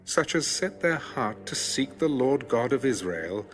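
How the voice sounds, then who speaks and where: A man reading scripture as a scripted narration, in a measured and solemn manner. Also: mid-range voice, big pitch swings. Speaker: Bible audiobook narrator. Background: background music.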